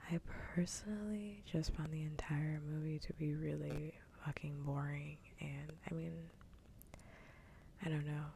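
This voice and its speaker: A woman hosting an ASMR livestream, chatting casually in a soft, breathy whisper close to the mic, with unhurried, sweary rambling. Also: sits low, steady tone, noisy background. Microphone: close ASMR microphone.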